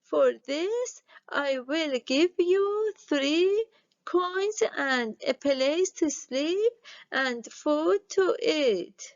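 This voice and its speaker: Woman playing a high-pitched old witch grandmother, strict. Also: lively intonation, lo-fi sound, exaggerated voice. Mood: firm, instructing. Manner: slow, instructing, sing-song chanting.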